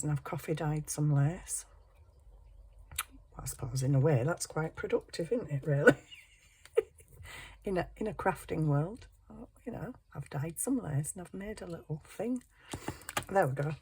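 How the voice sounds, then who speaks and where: A hoarse middle-aged woman chatting casually to herself while crafting, muttering and poking fun at herself. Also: low-pitched, darkish tone. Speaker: craft channel host.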